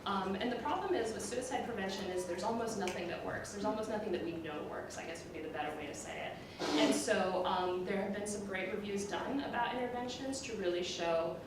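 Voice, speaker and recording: A woman's voice: medium pitch, brisk. A woman researcher giving an academic lecture with slides in a semi-conversational, explanatory way, with frequent ums and you-knows. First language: bilingual, Puerto Rican background. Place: indoor lecture room. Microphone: distant room microphone. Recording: roomy echo, distant mic, clear background noise.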